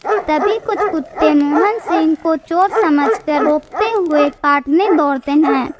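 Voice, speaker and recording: A woman tells a scripted cartoon moral tale as its narrator. Her voice is very high and youthful in pitch, carrying the story in the third person. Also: slow pace, a loud crowd.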